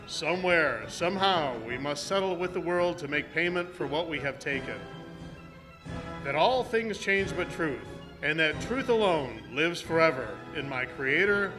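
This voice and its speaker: A man's voice: a little high, natural voice. A man playing an upright, earnest cowboy hero with a declamatory manner in an amateur radio play.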